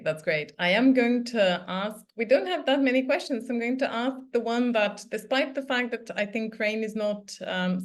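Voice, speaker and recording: A woman moderating an academic Q&A who reads an audience question aloud. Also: mid-range voice.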